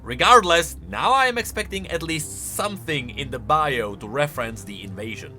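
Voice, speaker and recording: A man's voice: a little high, animated, moderate pace, pushed and bright, soft background music. A man hosting a video of casual, explanatory game lore commentary in an emphatic, conversational way. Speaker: video host.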